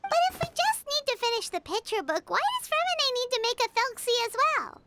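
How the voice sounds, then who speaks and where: A woman voicing a small, excitable, curious childlike fairy companion in a video game, with a squeaky, expressive delivery. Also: a little fast, heavy background noise. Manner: expressive, speaks of self in third person.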